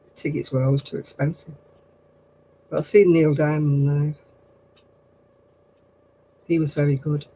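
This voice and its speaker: An older woman chatting casually on her craft livestream, answering viewers in a low, husky voice and a rambling, gossipy way. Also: flat delivery, unhurried, long pauses, mellow, a little hiss or hum, low-quality recording. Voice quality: low, husky voice after throat surgery.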